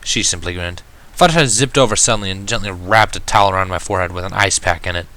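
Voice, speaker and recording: A man giving an expressive amateur narration of a fan fiction story. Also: deep voice, quick pace.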